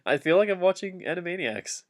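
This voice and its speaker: A man's voice: high-pitched, animated, fairly quick. A man doing a comic dramatic reading of fan fiction, giggly and often breaking off to heckle the text with asides.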